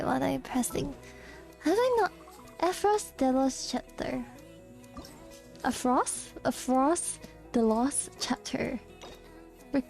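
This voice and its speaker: High-voiced singaporean girl streaming a game, slow chatty talk, giggles a lot, music quiet behind. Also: vocal fry. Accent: Singaporean English. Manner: giggly, chatty, talks back to the game and chat. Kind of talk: casual gaming livestream chat.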